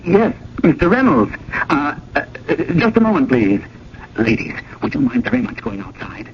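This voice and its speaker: A man's voice: fairly high pitch, very animated, moderate pace. A man playing a harried, wry, fretful museum curator in a radio drama, politely exasperated. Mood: flustered, panicky. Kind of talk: 1980 radio drama acting with first-person narration. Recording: dull, noisy old radio broadcast.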